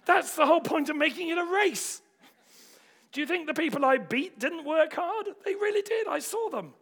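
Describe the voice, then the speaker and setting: A man's voice: extremely high pitch, gravelly, a bit bright, voice pushed up, heavily acted. A man voicing an exasperated, brutally frank champion athlete in a comedy sketch, indignant and insistent.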